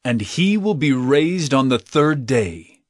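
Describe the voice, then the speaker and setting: A man playing an authoritative teacher in a Bible audio drama, speaking in a measured, solemn, instructive way. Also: mid-range voice, lively intonation, dry recording, studio quality. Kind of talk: audio drama scripture storytelling.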